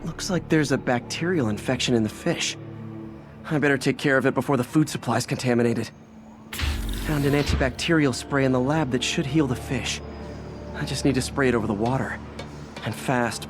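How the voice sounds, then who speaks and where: A man's voice: medium pitch, normal speed, pauses often, close to an everyday voice. An actor playing a young male superhero who is quippy and self-deprecating, heard in video game dialogue over game music. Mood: wry. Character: young male superhero, wisecracking but weary.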